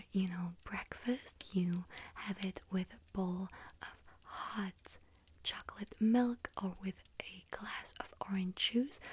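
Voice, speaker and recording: A woman voicing a friendly, chatty young witch who works in a bakery, in a gentle breathy ASMR whisper with hesitant pauses. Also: mid-range voice, a little hiss or hum, natural voice. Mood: enticing. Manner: gentle, unhurried, hesitant pauses with soft ums. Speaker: ASMR roleplay performer. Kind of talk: whispered ASMR shop roleplay.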